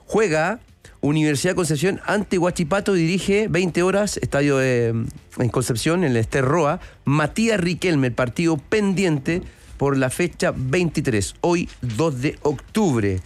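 A man's voice: on the high side, animated, unhurried. A man with a Chilean accent passing on information and chatting casually as a sports show's statistician. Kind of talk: casual radio chat and information reading.

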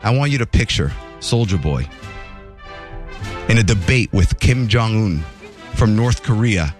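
A man's voice: on the low side, lively intonation, unhurried, darkish tone, soft background music. A man hosting a radio show, joking and teasing his co-host in playful banter.

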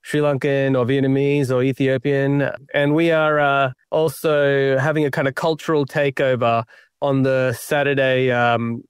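A man's voice: mid-range voice, flat delivery, unhurried, clean tone, dry recording, studio quality. A young Australian man, a playwright being interviewed, talking in a reflective, self-deprecating way with many fillers and restarts. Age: young adult. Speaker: playwright guest.

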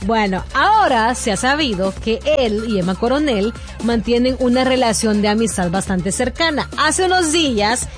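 A woman's voice: fairly high pitch, expressive, normal speed, hardly any pauses, faint music behind. A woman hosting a radio gossip segment, chatty and playful, laughing often as she tells the news. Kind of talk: casual celebrity gossip chat.

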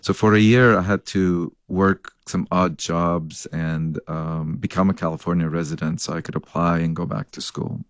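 Man from Lebanon, very low voice, earnest storyteller, dry close sound. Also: level tone, normal speed, hardly any pauses, no echo. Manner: earnest, storytelling, laughs between thoughts. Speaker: scientist, professor. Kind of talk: reflective interview answers.

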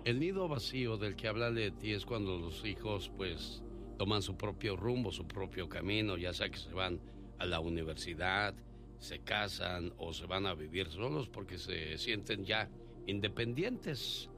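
A man's voice: deep voice, a little slow, music in the background. A man hosting a radio call-in show, chatting and telling stories to his callers. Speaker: radio host. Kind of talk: radio call-in chat with storytelling.

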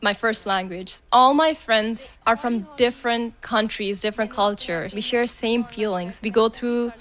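A woman from India whose first language is Punjabi speaks in a fairly high voice. She sounds heartfelt and sincere throughout. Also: a little slow, fairly bright tone. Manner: heartfelt, earnest. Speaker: event attendee. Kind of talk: vox pop interview.

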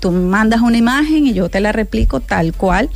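Woman chatting warmly as a guest. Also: fairly high pitch, normal speed, vocal fry. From Venezuela. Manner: lively, repeats words for emphasis. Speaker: guest, piñata maker. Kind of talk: casual radio chat.

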